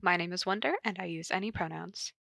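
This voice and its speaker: A woman's voice: lowish voice, fast, creaky. A woman co-hosting a podcast in casual chat, giggly and teasing, sometimes reading aloud. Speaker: podcast co-host and fan fiction reader.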